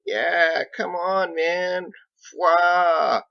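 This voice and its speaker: A man's voice: high voice, fairly even intonation, drawn-out words, gravelly, muffled recording, pitched above the performer's own voice, full character voice. A man voicing cheerful, rowdy masons who tease and call out in an audiobook. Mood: rowdy teasing. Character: rowdy, teasing male masons calling out cheerfully. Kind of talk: audiobook character dialogue.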